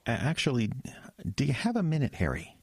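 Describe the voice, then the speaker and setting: An actor playing an earnest, troubled young pastor in an audio drama, stammering and hesitant, with an American accent. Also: male voice, medium pitch, huge pitch swings, a little fast, darkish tone, husky, dry recording, full character voice. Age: young adult.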